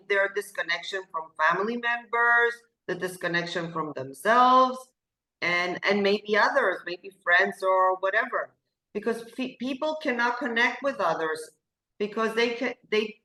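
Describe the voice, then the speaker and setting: A woman hosting an interview, asking questions in halting phrases as she searches for words, over a video call. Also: medium pitch, sharp tone. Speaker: show host. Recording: echoey room.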